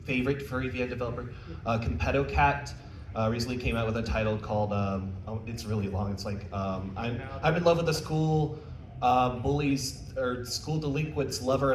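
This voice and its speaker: A man's voice: mid-range voice, fairly even intonation, normal speed, crowd noise. Man presenting casually in a roomy space. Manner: casual, jokey, conversational. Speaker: convention panel presenter, visual novel developer. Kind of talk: informal live presentation with audience questions. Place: convention panel room. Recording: roomy, distant mic, faint background noise.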